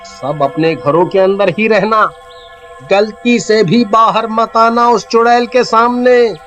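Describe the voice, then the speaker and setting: A man playing a superstitious, bossy, argumentative villager in an animated story. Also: sits high, muffled recording.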